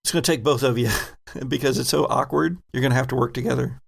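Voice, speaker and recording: A man with a gravelly voice narrating an improvised tabletop game as the game master. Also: mid-range voice, steady tone, fast talker, a little hiss or hum.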